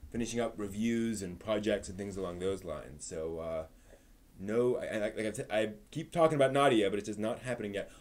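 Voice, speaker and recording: A man hosting a live stream in a conversational way, moving between news rundown and casual asides to his viewers. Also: fairly low pitch.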